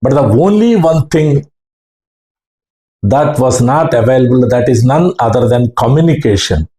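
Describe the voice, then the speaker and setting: A man with an Indian English accent recounting his experiences in a halting, formal, deliberate way, like a storytelling talk. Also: mid-range voice, lively intonation, mellow. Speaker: ham radio operator recounting experiences. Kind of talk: slow first-person storytelling talk. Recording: echoey, roomy sound.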